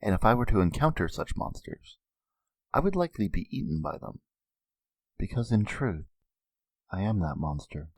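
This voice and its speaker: A man voicing a brooding monster that poses as a human, in a hushed, slow, confessional monologue. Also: low-pitched, very animated, no echo, heavily acted. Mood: melancholy. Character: brooding, self-loathing monster posing as a human.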